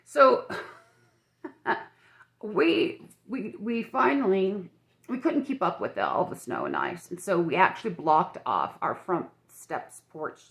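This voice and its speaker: An older woman with a croaky, dry-mouthed voice, chatting frankly and rambling with many restarts in a personal vlog filmed on her phone at home. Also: fairly low pitch, very animated, takes it slowly. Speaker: vlogger.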